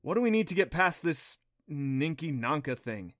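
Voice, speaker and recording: A man's voice: a little high, sing-song, normal speed, no room sound, clean studio sound. A man voicing a wary, grumbling boy adventurer in an audiobook, nervous and sardonic. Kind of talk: audiobook character voice.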